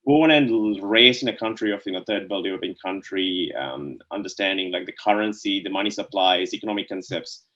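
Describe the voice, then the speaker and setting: A low-pitched man from Sri Lanka speaks as an interview guest, circling his points with "like, you know" and tagging them with "right?". The sound is a little muffled and lo-fi. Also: fairly quick, few pauses, sharp tone. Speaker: guest, DeFi co-founder. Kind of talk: casual interview answers.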